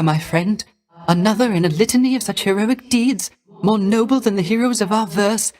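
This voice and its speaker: Melancholy young male bard, soft airy voice, courtly formal diction, earnest, theatrical video game character. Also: sits high. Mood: admiring. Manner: ornate, formal, deferential diction. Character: gentle, melancholy, courtly young male poet-musician of the underworld.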